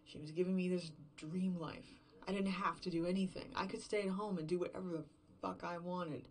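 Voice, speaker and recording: A woman acting a distraught, unraveling survivor alone in an emptied world, in a halting, self-questioning, profane confessional monologue for a horror fiction podcast. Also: lowish voice, steady tone, slight background noise, close to an everyday voice. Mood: bitter anger.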